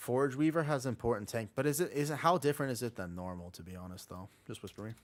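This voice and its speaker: A man's voice: medium pitch, quick pace. A man chatting casually and slangily as he livestreams an online game, talking to his viewers and teammates in a laid-back way. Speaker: live streamer playing an online game. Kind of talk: casual livestream chat while gaming.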